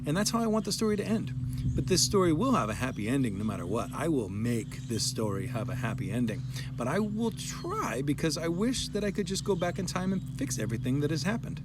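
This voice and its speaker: A man's voice: a little high, very animated, fairly quick, background noise. A man giving a dramatic reading of a child's written complaint, with emphatic, plaintive delivery. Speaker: parent reading a child's story aloud.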